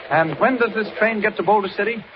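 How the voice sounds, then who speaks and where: A man's voice: high voice, fast talker, close to an everyday voice. An actor playing a polite, guarded newspaperman, earnest and slightly hesitant, in 1940s radio style. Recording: very noisy, muffled old radio recording.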